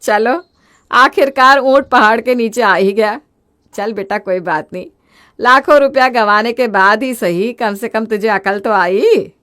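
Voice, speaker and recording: A woman voicing a sharp-tongued, thrifty older mother-in-law in a storybook drama, speaking in a lecturing, sarcastic way. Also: a little high, unhurried. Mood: smug. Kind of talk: storybook voice acting.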